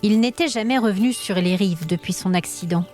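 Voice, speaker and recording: A woman tells a scripted fantasy tale as a storyteller. Her voice has a medium pitch, and the narration is dramatic, with light music underneath. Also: a little fast, a little mellow.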